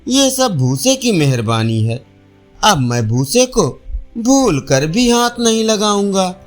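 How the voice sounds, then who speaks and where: A man's voice: high-pitched, sing-song, takes it slowly, full character voice. A man voicing a stubborn, simple-minded farmer of seventy in a storybook tale, fretting and musing aloud. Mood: regretful. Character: stubborn, dim-witted seventy-year-old village farmer, anxious. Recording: faint music behind voice.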